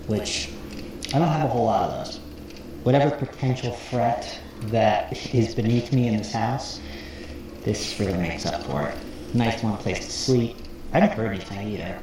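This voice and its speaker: Man acting as weary lone survivalist, understated found-footage horror log, musing, heavy echo, clear background noise. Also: lowish voice, natural voice. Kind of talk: found-footage horror monologue, casual and low-key. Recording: echoey, very noisy found-footage audio.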